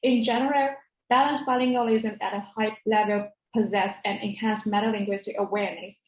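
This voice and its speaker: Female student presenter, mid-pitched, scripted and formal, recorded with noticeable room echo. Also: muffled recording. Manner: formal, reading from prepared text. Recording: roomy, echoey sound.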